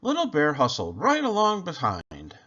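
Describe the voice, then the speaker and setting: A man reading a children's story aloud in an expressive, deliberate storytelling manner. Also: on the high side, slightly rough, muffled recording. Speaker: children's book reader. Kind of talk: scripted storybook narration, read aloud.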